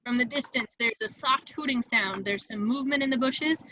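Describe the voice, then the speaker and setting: A woman's voice: on the high side, fairly quick, sharp tone, dull audio. A woman reading scripted stage directions as the narrator of a table read, in a vivid storytelling manner.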